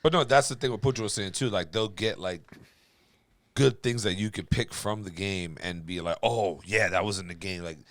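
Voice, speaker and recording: A man's voice: on the low side, normal speed, a bit bright, no room sound. A man co-hosting a podcast in casual, slangy chat with some profanity. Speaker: podcast co-host.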